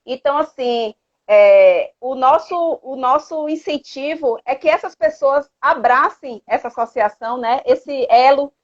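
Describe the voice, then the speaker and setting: Female live-chat host, Salvador Brazilian accent, highish voice, earnest and exhortative, dull lo-fi sound. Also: unhurried. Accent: Brazilian, from Salvador, Bahia. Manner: earnest, exhortative, with frequent tag questions. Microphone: live social media video call. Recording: dull lo-fi livestream audio.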